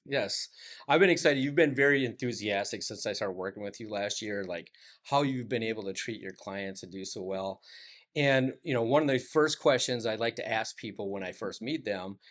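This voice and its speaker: A man hosting a casual podcast interview, asking relaxed, friendly questions full of fillers. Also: fairly low pitch. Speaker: podcast host.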